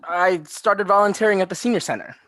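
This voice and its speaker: A man's voice: sits high, brisk, fairly bright tone, no room sound. A man playing an earnest, oblivious sixteen-year-old who chats in casual bro slang in a comedy table read. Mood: friendly.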